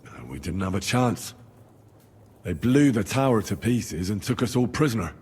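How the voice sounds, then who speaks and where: A man's voice: lowish voice, lively intonation, moderate pace, darkish tone, husky, in a large hall. A man playing an earnest, plain-spoken young medieval squire in dramatic video game dialogue. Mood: sober.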